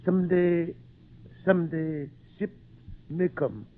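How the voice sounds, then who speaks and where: Eager devoted island servant, highish voice, broken english, crackly narrow old radio show sound. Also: male voice, takes it slowly. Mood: gentle, hopeful. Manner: broken, simple English with dropped words. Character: eager, devoted native islander servant man, humble and gentle. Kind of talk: old-time radio drama acting. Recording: tinny narrow-band old radio recording.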